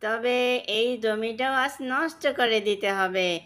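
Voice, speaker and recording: A woman's voice: fairly high pitch, slow, fairly bright tone. A woman voicing a vengeful male crow in a cartoon, with a menacing, scheming manner. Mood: gloating, scheming. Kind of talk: cartoon character dialogue.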